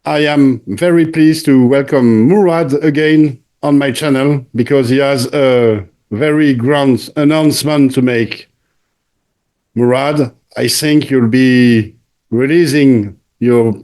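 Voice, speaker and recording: Guy hosting an interview, real slow pace, relaxed mellow tone, hesitates and repeats himself a lot. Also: medium pitch. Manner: hesitant, halting, searching for words, repeats words. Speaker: channel host interviewing a returning guest. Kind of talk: conversational interview commentary.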